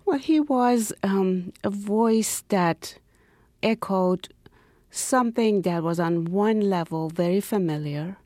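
A woman from Iran, a professor and poet, speaking as an interview guest in an expressive way, dropping to a whisper for emphasis. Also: medium pitch, takes it slowly, no room sound, studio quality. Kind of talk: interview conversation.

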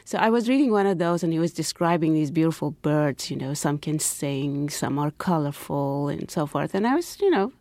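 Female guest from Iran, scholar and poet, low-pitched and expressive, dry studio sound. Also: normal speed, few pauses, no echo. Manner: expressive, drops to whispers for emphasis. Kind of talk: interview conversation.

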